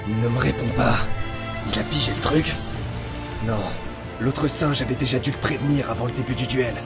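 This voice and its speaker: A man's voice: medium pitch, normal speed, natural voice. A man voicing a resentful, scheming teenage rival in an anime fan dub, provoking and intense. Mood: taunting. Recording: loud music under the voice.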